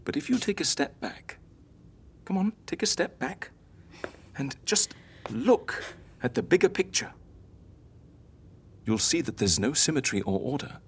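A woman's voice: deep voice, sing-song, measured pace, long pauses, a little hiss or hum. An actress playing a confident, theatrical woman who fakes deaths for clients, lecturing and coaxing in an audio drama. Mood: didactic, philosophical. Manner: didactic, encouraging, philosophical.

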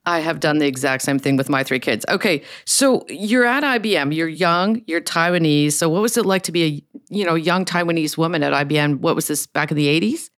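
Smooth-voiced woman interviewer. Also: deep voice, brisk, few pauses. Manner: friendly, chatty, shares own experiences. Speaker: interview host. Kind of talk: conversational interviewing.

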